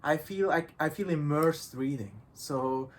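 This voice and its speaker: A man's voice: on the high side, unhurried. A man in a casual discussion, talking in a hesitant, rambling way with non-native English phrasing. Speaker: visual novel reviewer in a discussion. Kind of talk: casual chat.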